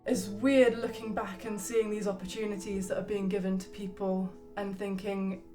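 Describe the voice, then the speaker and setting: A woman in her twenties from Surrey talks in an earnest, reflective way. Her pitch stays fairly level, and music plays clearly under her voice. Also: flat delivery, normal speed. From Surrey, England. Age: 20s. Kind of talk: documentary interview.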